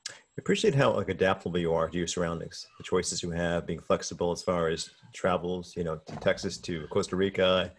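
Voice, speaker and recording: A man's voice: low voice, a little fast, breathy edge. A man in his forties hosting an interview over a video call from a room at home, eager and often restarting his words. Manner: enthusiastic, repeats and restarts words. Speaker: podcast host. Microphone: video call with desk mic.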